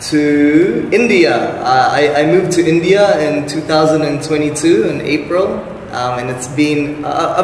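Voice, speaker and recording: A young man from the Philippines, raised in New Zealand, speaks with cheerful earnestness and stops often for an "um." His voice is on the higher side and bounces around a very reverberant hall. Faint background noise runs throughout. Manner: earnest and upbeat, with hesitant fillers. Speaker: Capuchin brother. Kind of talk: casual self-introduction.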